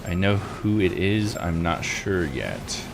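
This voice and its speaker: A man's voice: sits low, very animated, slow pace, vocal fry, noisy background. A man live streaming a visual novel, rambling and thinking aloud in casual commentary. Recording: game music behind voice. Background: video game music.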